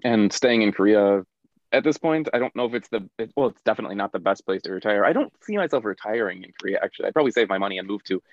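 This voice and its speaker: A low-voiced American man talks frankly on a podcast, tripping over restarts and poking fun at himself. His mic sounds dull and lo-fi, with a dry, close sound. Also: animated, racing, no echo. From United States. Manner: rambling, frank, self-mocking, many restarts. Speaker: podcast co-host. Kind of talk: casual podcast chat.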